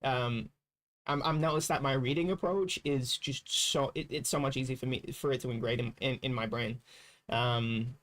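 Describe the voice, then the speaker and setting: A man's voice: mid-range voice, steady tone, normal speed, a bit bright. A young man who grew up speaking Slovak, chatting casually on a livestream, rambling with restarts and laughs. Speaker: livestream co-host. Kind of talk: casual chat.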